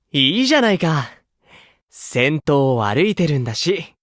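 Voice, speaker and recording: An actor playing a gentle, playful grown man, a caring older teammate in an idol game, with a coaxing manner. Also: fairly high pitch, big pitch swings, takes it slowly, dry recording, clean studio sound, full character voice. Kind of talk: Japanese mobile game voice acting.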